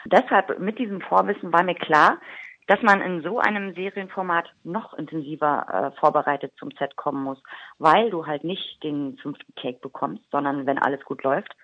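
Chatty actress with a Berlin accent. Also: female voice, low-pitched, no echo. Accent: Berlin German. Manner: chatty, open, quick to laugh. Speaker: actress guest. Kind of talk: radio interview. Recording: dull lo-fi radio recording.

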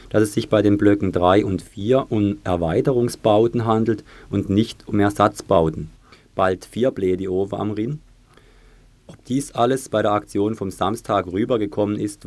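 A man presenting a radio news report in a measured, matter-of-fact way. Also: fairly low pitch, a little mellow.

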